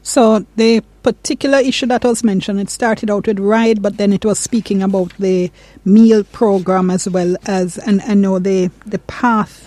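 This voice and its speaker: A woman hosting a radio talk show, brisk and conversational as she reads listener questions and introduces segments. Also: medium pitch, steady tone, mellow, no echo.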